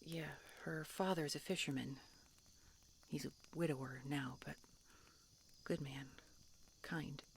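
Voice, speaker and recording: A woman plays a tsundere dragon hunter with a gravelly fry in her voice. She is prickly and guarded yet softening, speaking in grudging, halting, often hushed phrases. It is an intimate fantasy audio roleplay, with faint insect ambience behind her. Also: low voice, fairly even intonation, slight background noise, full character voice. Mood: softer, hesitant.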